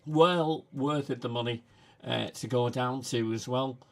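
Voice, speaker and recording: A man with a Manchester accent hosting a casual livestream, talking haltingly with many fillers. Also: mid-range voice. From England. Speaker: livestream host.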